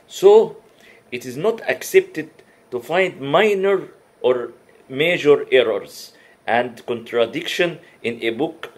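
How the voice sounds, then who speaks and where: Slow, halting man, Arabic native. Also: mid-range voice, breathy edge. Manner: halting, many uh fillers and restarts; careful non-native English. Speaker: religious lecturer. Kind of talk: comparative religion talk.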